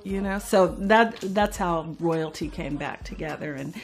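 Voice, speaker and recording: A woman's voice: lowish voice, a little slow. A middle-aged American woman telling her own story in a reflective interview style. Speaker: singer in a family group.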